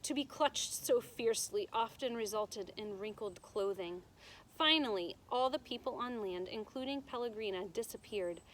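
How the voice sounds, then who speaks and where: A woman's voice: on the high side, expressive, normal speed, rough recording. A woman teacher reading a storybook aloud to children, with emphatic delivery and simple explanations. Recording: noticeable background noise.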